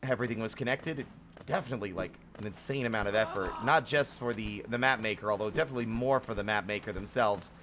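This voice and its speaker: A man's voice: fairly low pitch, quick pace, a bit bright, a little hiss or hum. A man giving casual live commentary as a game streamer, rambling and thinking aloud as he goes.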